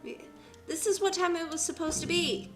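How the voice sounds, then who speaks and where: A woman's voice: extremely high pitch, steady tone, moderate pace, fairly bright tone. A woman streamer giving casual gameplay commentary, playfully muttering to herself. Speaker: game streamer, VTuber. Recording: distant mic under game music. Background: video game music.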